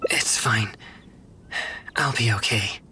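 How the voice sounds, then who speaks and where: A woman playing a composed, earnest young spirit investigator in a video game, measured, stoic and reassuring. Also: very deep voice, bright, forceful tone, a little hiss or hum, full character voice. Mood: weary, stoic.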